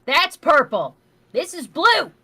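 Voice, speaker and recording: A woman's voice: sits high, big pitch swings, takes it slowly, bright, forceful tone, dry recording. A woman co-hosting a livestream in casual banter, blunt, sweary and emphatic. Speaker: livestream co-host.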